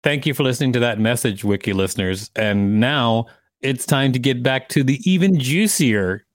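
A man's voice: medium pitch, lively intonation, normal speed, dry recording, studio quality. A man co-hosting a podcast, reading articles aloud and breaking into casual banter. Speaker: podcast co-host.

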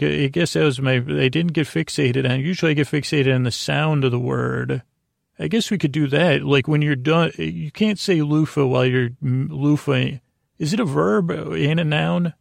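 A man hosting a podcast alone, rambling through whimsical banter in a halting, stammering, meandering way with a gravelly voice. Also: fairly high pitch, dry recording, studio quality. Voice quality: gravelly, creaky. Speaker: podcast host. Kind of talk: rambling solo banter monologue.

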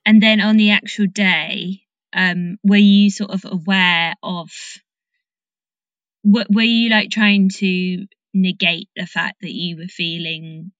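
Woman podcast host, bright forward voice, mid pitch, very dry sound, supportive, informal, thinking aloud. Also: fairly even intonation, slow, no room sound, highs cut off. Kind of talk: casual conversational interview.

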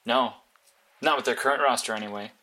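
A man's voice: mid-range voice, fairly quick, sharp tone, natural voice. A man acting as a matter-of-fact, analytical vigilante in an audio drama, clipped and insistent. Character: blunt, analytical, sleepless male vigilante mastermind. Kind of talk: naturalistic audio drama dialogue.

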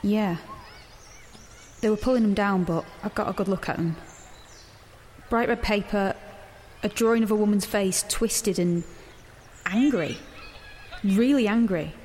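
A woman's voice: medium pitch, measured pace, long pauses, a little mellow, lightly acted. An actress playing a guarded, conflicted teenage girl in an audio drama, earnest and defensive. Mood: uneasy. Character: guarded, conflicted teenage girl protagonist narrating her story. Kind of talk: audio drama dialogue and first-person narration. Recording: background noise, outdoor ambience.

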